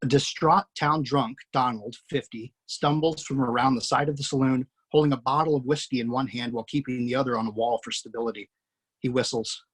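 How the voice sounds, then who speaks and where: A man hosting and narrating a screenplay table read, relaxed and chatty, with many fillers. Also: medium pitch, brisk, breathy edge, rough recording. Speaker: writer and host.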